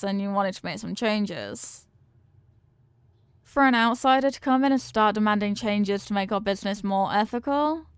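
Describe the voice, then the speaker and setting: A woman's voice: medium pitch, clean tone, no echo. A woman playing a confident mafia boss girlfriend in an intimate romantic audio roleplay, talking tough but tenderly with affectionate slang. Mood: teasing, admiring. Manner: affectionate tough talk with slangy pet names.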